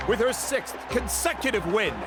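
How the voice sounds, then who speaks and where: A theatrical game arena announcer with a very high, wildly swooping voice. He declaims like a showman presenting champions, over prominent music. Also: lively intonation, moderate pace, a loud crowd. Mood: triumphant, showy. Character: booming, showy male tournament announcer.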